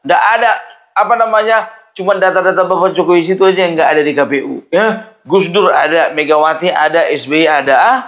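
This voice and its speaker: A man's voice: on the high side, brisk, a bit bright. A man giving informal political commentary, scoffing and firing off mocking rhetorical questions.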